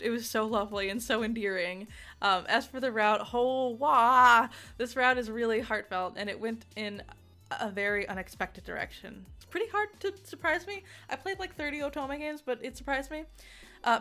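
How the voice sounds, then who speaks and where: A young woman giving a casual, excitable game review, giggling often and rambling with fillers and restarts. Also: on the high side.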